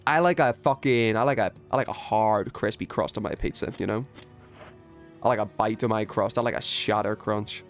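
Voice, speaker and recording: A man's voice: fairly low pitch, moderate pace. Opinionated man livestreaming, music behind him. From United Kingdom. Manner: opinionated, emphatic, sweary. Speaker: video game livestreamer. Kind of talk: casual chat with viewers while gaming.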